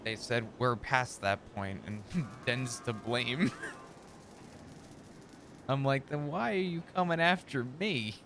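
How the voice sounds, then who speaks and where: A man's voice: mid-range voice, slow, long pauses, fairly bright tone, faint music behind. A man streaming a roleplay game, chatting in character in a casual, swearing manner. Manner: casual, swears often, chuckles.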